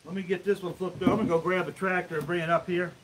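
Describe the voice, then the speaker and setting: High-voiced man, rambling casually. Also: level tone, very fast. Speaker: host, sawmill woodworker. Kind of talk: casual live vlog while working. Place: open-sided sawmill shed outdoors. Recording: outdoor workshop noise, varying distance from mic.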